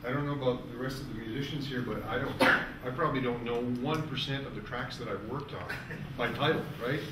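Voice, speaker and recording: A man's voice: mid-range voice, brisk. Male musician asking stuff from the audience, distant, echoey, noisy. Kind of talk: informal audience question. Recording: echoey room, distant mic, background noise.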